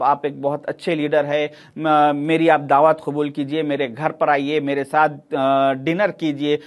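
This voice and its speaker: Man, middle-aged, fairly high voice, emphatic, sarcastic, studio commentary. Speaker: political commentator. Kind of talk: political commentary to camera.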